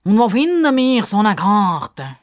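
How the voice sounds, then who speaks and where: A woman voicing a pompous, fussy male crocodile in a children's story, speaking in a stilted, formal and drawn-out way. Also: medium pitch, very animated, voice pushed up. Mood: questioning.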